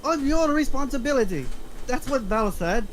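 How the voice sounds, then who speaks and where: A woman's voice: high-pitched, animated, moderate pace. A woman playing a hot-headed young crook, slightly noisy recording. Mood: combative. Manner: blaming, pushy, sweary. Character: hot-headed, foul-mouthed twenty-four-year-old criminal sidekick. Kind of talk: table read of a film script.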